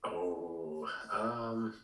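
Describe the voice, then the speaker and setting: A man chatting casually as a podcast co-host, halting and repeating words as he works out what to say. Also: low voice, a bit bright, recorded from a distance. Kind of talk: casual chat, pitching ideas. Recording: roomy, echoey, away from the mic.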